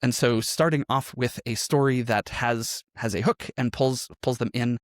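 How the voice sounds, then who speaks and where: Male interview guest, mid-pitched, explanatory and conversational, very dry recording. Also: fairly even intonation, normal speed, no echo. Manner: explanatory, often checking with "right?". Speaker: podcast guest, audio drama creator.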